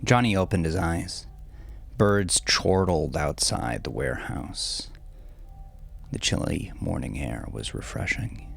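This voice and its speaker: A man narrating his own dark novel like a dramatic audiobook, brooding and deliberate, with gravelly phrases that often sink toward breathiness. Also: low voice. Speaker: novelist reading own novel, podcast host. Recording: faint music bed under voice. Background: faint music.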